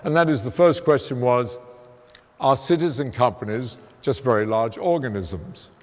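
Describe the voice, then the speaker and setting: Guy giving a science talk, loud and emphatic, chatty, mid pitch, big hall echo. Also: normal speed. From immigrant to the United States. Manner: emphatic, conversational, fairly loud voice. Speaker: guest lecturer, theoretical physicist. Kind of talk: public science lecture. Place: museum lecture hall, walking away from the podium. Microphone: room mic, speaking without a handheld mic. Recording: long reverb of a lecture hall.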